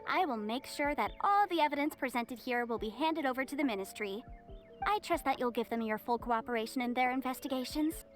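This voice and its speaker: Young female legal adviser, game role for an actress: high voice, formal diction, confident and shrewd, light music bed. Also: brisk, close to an everyday voice. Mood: coolly threatening. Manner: articulate, formal, coolly assertive. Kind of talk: video game voice acting. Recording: music behind the voice.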